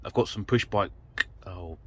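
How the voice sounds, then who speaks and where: A man's voice: deep voice, moderate pace, a little mellow, husky. A British man giving a casual, self-deprecating DIY walkthrough while working, rambling informally into a handheld camera. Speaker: vlogger, amateur vehicle DIYer. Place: in and around an off-road vehicle outdoors. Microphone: handheld phone or camera mic. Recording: noisy handheld recording with vehicle ambience.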